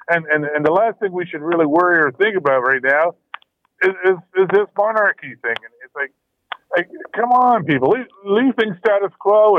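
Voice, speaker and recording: A man's voice: high voice, no room sound. A man calling in to a radio talk show, rambling and nostalgic. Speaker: caller. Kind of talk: phone-in call. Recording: tinny phone line.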